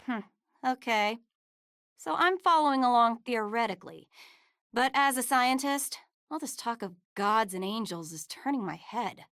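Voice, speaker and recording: Wry, high-voiced scientist. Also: female voice, lively intonation, moderate pace, a bit bright, no echo, clean studio sound. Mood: puzzled, wry. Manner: wry, matter-of-fact, colloquial. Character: skeptical, analytical female scientist, wry and breezy. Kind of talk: scripted video game dialogue. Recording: orchestral music behind the voice.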